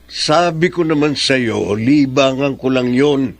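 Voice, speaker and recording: Irritable widowed dad, middle-aged, medium pitch, defensive, challenging, radio drama. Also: male voice, takes it slowly, dry recording. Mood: irritated. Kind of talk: Filipino radio drama acting.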